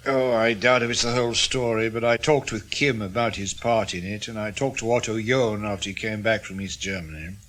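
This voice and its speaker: An actor playing a reflective, wry older British ex-intelligence officer in a radio drama, musing and measured. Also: male voice, lowish voice, lightly acted. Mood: measured recounting. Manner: musing, measured, gently teasing. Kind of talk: naturalistic radio drama acting.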